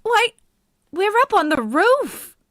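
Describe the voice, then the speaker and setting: A woman's voice: extremely high pitch, big pitch swings, takes it slowly, a bit bright, no room sound, studio quality, exaggerated voice. A woman playing a timid young woman on a first date in an amateur vintage radio play, sounding worried and breathless.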